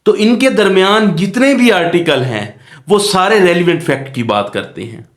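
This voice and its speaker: A man's voice: high-pitched, lively intonation, normal speed. A man with a Pakistani accent teaching law in a didactic question-and-answer manner, mixing in English legal terms. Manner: didactic, asks rhetorical questions and answers them. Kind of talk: lecture explaining a statute. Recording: echoey room.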